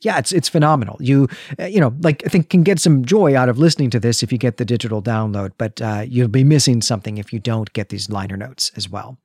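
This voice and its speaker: A man's voice: medium pitch, fast, few pauses, a little mellow, breathy edge, no room sound, clean studio sound. A man hosting a podcast, talking casually and enthusiastically as he gives a review. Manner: enthusiastic, effusive. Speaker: podcast host.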